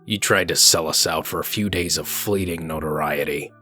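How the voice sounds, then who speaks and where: A man playing a gruff, cold, commanding wolf sheriff in human form, curt and threatening, in a comic dub. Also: rumbling low, moderate pace, sharp tone, soft background music. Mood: cold, menacing. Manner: curt, threatening, coolly controlled.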